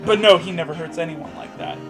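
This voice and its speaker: A man's voice: a little high, fast, soft background music. A young man reading aloud and commenting casually, sarcastic and sweary, often breaking off to joke. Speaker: co-host reading fan fiction aloud. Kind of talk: casual mocking read-aloud and commentary over a game.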